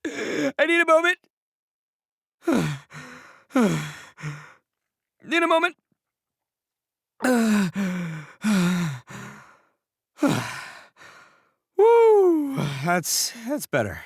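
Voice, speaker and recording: A man's voice: high voice, huge pitch swings, drawn-out words, pauses often, slightly rough, no room sound, clean studio sound, exaggerated voice. A man voicing a brash, boastful and goofy young horned ogre gang boss in an anime game, blustering and stammering theatrically. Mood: gruff, indignant.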